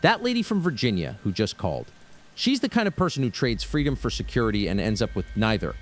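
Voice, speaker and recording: A man's voice: fairly low pitch, sing-song, quick pace, faint music behind. A man playing a suspicious, insistent conspiracy theorist calling a radio show. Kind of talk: radio call-in drama acting.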